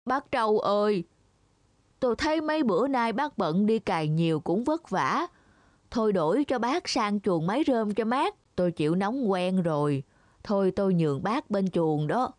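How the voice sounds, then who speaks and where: A woman's voice: medium pitch, animated, normal speed, darkish tone, no echo, clean studio sound, close to an everyday voice. Woman voicing a scheming, sweet-talking cartoon cow. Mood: wheedling, scheming. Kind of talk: children's cartoon fable dubbing.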